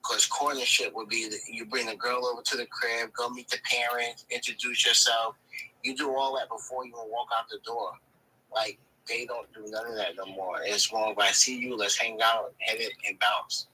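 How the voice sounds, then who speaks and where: A man's voice: medium pitch, level tone, moderate pace, bright, forceful tone, breathy edge, poor audio. Emphatic man in his forties. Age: 40s. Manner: emphatic, repeats his points. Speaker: panelist. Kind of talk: casual chat on a live talk show.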